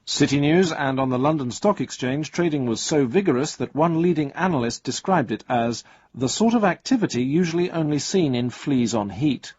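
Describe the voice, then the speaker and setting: An actor playing a brisk, deadpan financial news announcer in a radio drama, with a husky voice. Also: male voice, a little high, dry recording, lo-fi sound.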